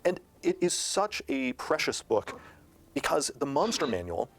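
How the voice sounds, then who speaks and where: A man speaking as a game designer in a promotional discussion of a rulebook, halting often as he explains. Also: fairly high pitch, very animated, a bit bright.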